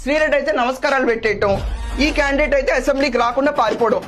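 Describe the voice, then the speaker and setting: Fast, outspoken woman doing political commentary. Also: high-pitched, a bit bright, soft background music. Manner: mocking, outspoken.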